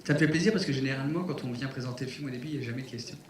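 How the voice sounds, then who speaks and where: A man's voice: mid-range voice, very fast. A man presenting a film premiere on stage, joking playfully with the audience. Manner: playful, lively, feigning surprise. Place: cinema theater in front of an audience. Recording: echoey cinema hall, distant mic, crowd.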